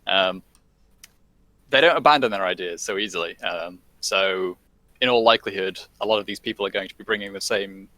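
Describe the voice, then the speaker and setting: Podcast guest: low-pitched man with a bright, forward tone, halting delivery full of "um" and "you know". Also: dry recording, low-quality recording. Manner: hesitant, many fillers and restarts. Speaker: guest commentator. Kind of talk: casual podcast discussion.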